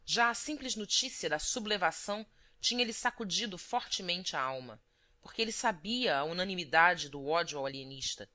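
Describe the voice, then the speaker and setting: A woman reads literary prose as an audiobook narrator, in a measured, formal manner. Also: fairly low pitch, lively intonation, sharp tone.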